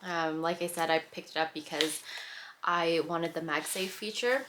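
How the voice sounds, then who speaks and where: A woman's voice: lowish voice, normal speed, noisy background. A young woman from the Philippines whose first language is Tagalog, chatting casually as a vlogger with many fillers and restarts. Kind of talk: casual chat.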